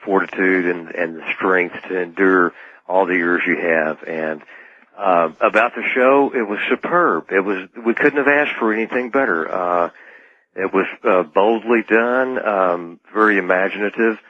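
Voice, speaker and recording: A mature man calling in to a radio show, speaking earnestly and somewhat formally with halting phrasing, over a band-limited phone line. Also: sits low, dry recording. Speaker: radio call-in caller. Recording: dull band-limited phone call, dry.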